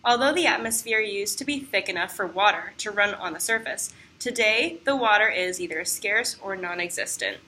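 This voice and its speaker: Bright, forceful woman narrating a lesson. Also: mid-range voice, expressive, moderate pace, hardly any pauses. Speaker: puppet show presenter. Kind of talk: scripted educational narration. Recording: faint background noise.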